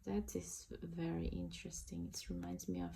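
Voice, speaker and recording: A woman's voice: fairly low pitch, big pitch swings, moderate pace, vocal fry, dark tone, a little hiss or hum. A woman with an Indonesian accent giving a casual, hesitant tarot deck review, searching for words as she talks. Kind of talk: casual unboxing and flip-through commentary.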